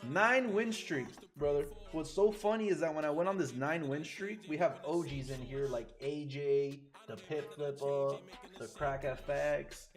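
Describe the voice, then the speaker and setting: A man from Chile, a forex trader chatting casually and excitably with his live viewers, swearing freely. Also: a little high, unhurried. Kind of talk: casual livestream commentary. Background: faint music.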